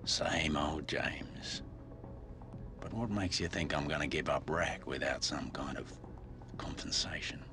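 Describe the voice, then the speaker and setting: A man's voice: extremely low pitch, a little slow. A man playing a sly, mercenary underworld informant in a video game, sardonic and always bargaining. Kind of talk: cinematic video game acting. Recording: game soundtrack music behind. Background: music.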